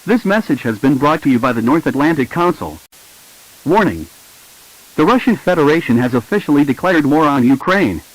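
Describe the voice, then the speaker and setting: A man with a somewhat high voice delivers scripted emergency alert announcements. His delivery is formal and impersonal, like an official broadcast notice. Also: expressive, normal speed, poor audio.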